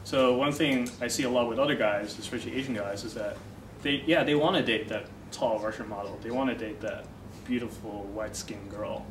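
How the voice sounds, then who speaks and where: A young man who grew up in the Netherlands, a dating coach, explaining ideas in a relaxed interview with many fillers. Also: medium pitch, a little fast. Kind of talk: casual interview talk. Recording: echoey room from far off, noisy.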